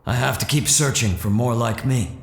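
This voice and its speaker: A man playing a stalwart wandering monster hunter in an audio drama, speaking in a measured, formal and resolute way. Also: medium pitch, natural voice. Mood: grim, resolute. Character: wandering monster hunter, stalwart adventurer in a post-nuclear wasteland. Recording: echoey hall reverb, faint music.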